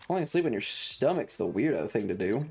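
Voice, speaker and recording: A woman livestreamer chatting casually over a video game in a blunt, sweary, deadpan manner, with game music behind her. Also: a bass voice, fast talker. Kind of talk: casual game streaming chat.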